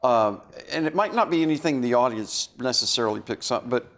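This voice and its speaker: Tentative man with average pitch. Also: animated, a bit bright. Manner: tentative, deliberate suggestions. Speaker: actor. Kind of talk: casual group discussion.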